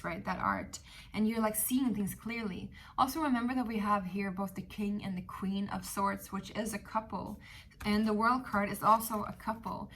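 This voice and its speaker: A woman's voice: medium pitch, fairly bright tone, slight background noise. A woman with a Swedish accent, Swedish her first language, giving a casual, chatty tarot reading with many fillers. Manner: chatty, rambling, many fillers, laughs often. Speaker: tarot reader.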